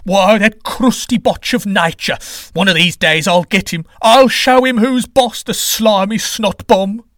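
A man's voice: high voice, a little slow, fairly bright tone, no room sound, studio quality, pitched above the performer's own voice. A man voicing a proud, hot-tempered male wind god with a smug, blustering manner. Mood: furious.

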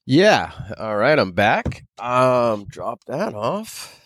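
A man's voice: mid-range voice, sing-song, very slow, fairly bright tone. A man hosting a solo podcast, rambling casually and loosely in informal, sweary language like an amateur comedian.

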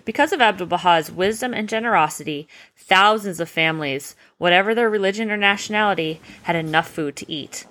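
A woman voicing a gentle, patient uncle who explains things in a bedtime story. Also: lowish voice, brisk, hardly any pauses, natural voice. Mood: gentle pride. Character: gentle, patient uncle, a humble carriage driver.

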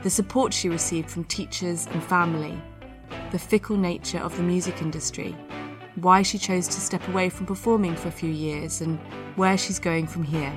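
Woman host, slightly low voice, upbeat scripted intro with a music bed underneath. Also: fairly even intonation, moderate pace. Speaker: podcast host.

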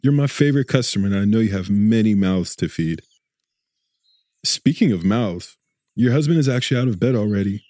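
An actor playing a jovial, stout fishmonger who banters in a friendly, teasing way in a radio drama. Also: male voice, lowish voice, expressive, brisk, dark tone, dry recording, studio quality, lightly acted. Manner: chummy, bantering.

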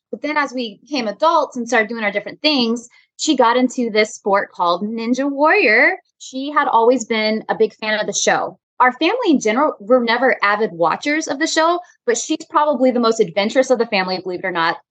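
An upbeat, friendly woman chatting as a podcast guest, her voice sitting fairly high. She laughs easily, and the sound is close and dry with no room around it. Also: fairly bright tone, dry recording, poor audio. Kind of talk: casual interview chat.

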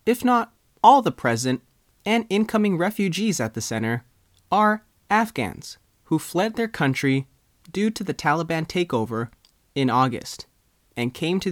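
A man reading a scripted news story as a reporter, in measured, short phrases. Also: on the high side, no room sound, studio quality. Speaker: news reporter and writer reading own article.

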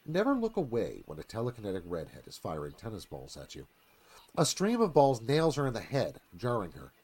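A man's voice: fairly low pitch, huge pitch swings, a little fast, a little mellow, slightly rough. A man narrating a screenplay dramatically in a live radio theatre reading.

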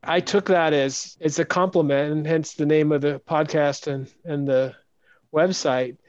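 A man hosts a podcast interview in a soft, hesitant manner and often restarts his sentences. His voice sits a bit high. The audio is dry and dull, as if muffled. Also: fairly even intonation, measured pace, no echo. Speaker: podcast host. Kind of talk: casual interview chat.

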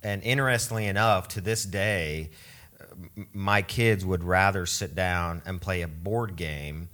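A man who directs a summer camp, speaking in an earnest, measured way in a conversational interview. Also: deep voice, a bit bright. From grew up in Switzerland and Oregon. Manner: measured, earnest, some hesitation.

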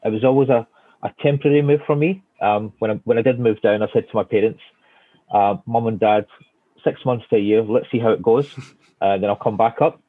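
A man's voice: fairly low pitch, brisk, no echo. Hesitant Glaswegian man on a tinny line. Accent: Glaswegian Scottish. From Scotland. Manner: hesitant, many fillers and restarts. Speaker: guest architect. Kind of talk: casual interview answers. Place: home office at home. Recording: tinny phone-like line, dry.

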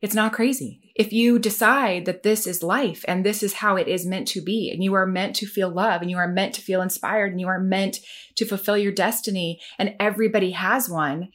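A woman's voice: medium pitch, steady tone, normal speed. A woman hosting a casual chat, talking with enthusiasm about her childhood and about destiny. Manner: enthusiastic, reflective talker. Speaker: host.

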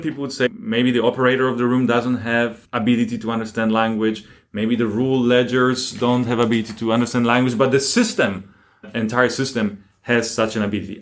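A man speaking as an academic guest in an interview, explaining things deliberately and with emphasis. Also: mid-range voice.

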